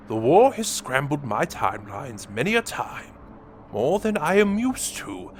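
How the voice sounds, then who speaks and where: A man playing a villainous, grandiose alien warlord in an audio drama monologue, with theatrical pauses and menacing, hushed drops and swells. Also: mid-range voice, slight background noise, exaggerated voice.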